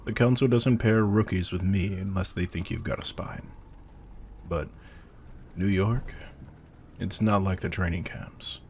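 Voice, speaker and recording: A man performs a seasoned vampire hunter with a very low, gravelly voice. He speaks slowly and deliberately, commanding and faintly predatory, often sinking into breathy murmurs. It is an intimate audio drama with ambient noise behind him. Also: steady tone, darkish tone. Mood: calm, commanding. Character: veteran male vampire hunter, intense, commanding, secretly predatory. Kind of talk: slow-burn roleplay audio drama addressed to the listener.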